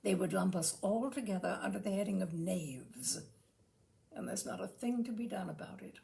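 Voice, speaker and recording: A woman giving an expressive, theatrical reading of a humorous essay, with measured phrasing and dramatic pauses. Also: mid-range voice, creaky. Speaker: reader of literary humour.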